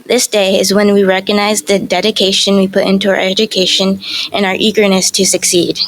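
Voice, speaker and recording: A woman's voice: mid-range voice, flat delivery, a little fast, poor audio. A young woman, co-valedictorian, giving a formal and composed graduation speech. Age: young adult. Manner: formal, composed, read from a script.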